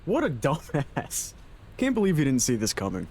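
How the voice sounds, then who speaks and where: A man's voice: mid-range voice, huge pitch swings, moderate pace, a little mellow, slight background noise. A man playing an irritable, dismissive young street thug in an audio drama. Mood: scornful. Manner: dismissive, snapping.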